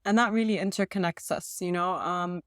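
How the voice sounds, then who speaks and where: A woman's voice: fairly low pitch, a little fast, no room sound, clean studio sound. A woman from Saudi Arabia, a native Arabic speaker, talking reflectively as an artist in an interview. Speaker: textile and multimedia artist being interviewed.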